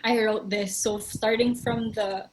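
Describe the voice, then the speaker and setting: A woman's voice: mid-range voice, steady tone, a little slow, a little hiss or hum. A woman, a songwriter in a band, answering interview questions casually and mixing English with Tagalog while she thinks aloud.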